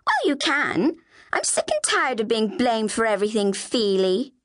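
A woman's voice: a little high, big pitch swings, moderate pace, voice pushed up. A woman voicing a precocious, indignant eleven-year-old girl in an audiobook, with precise, haughty, wordy speech. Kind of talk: audiobook character dialogue.

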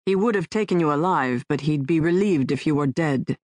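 A woman doing a gruff, curt male rescuer. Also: a little high, a little fast, darkish tone, no room sound, lightly acted. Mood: blunt. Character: tough adult male rescuer, blunt, sardonic.

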